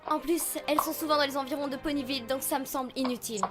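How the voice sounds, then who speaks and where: A woman's voice: high voice, fairly even intonation, racing, lightly acted. A woman voicing a young schoolgirl pony in a cartoon fandub, an earnest and curious daughter. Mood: bored. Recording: studio dub with faint music.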